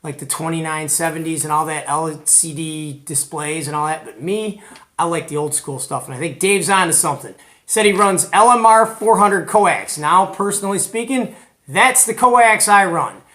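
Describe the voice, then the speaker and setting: Male host, American accent, high-pitched voice, animated and colloquial, casual vlog presenting. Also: moderate pace. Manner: animated, colloquial, retells conversations. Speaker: host of a CB radio show.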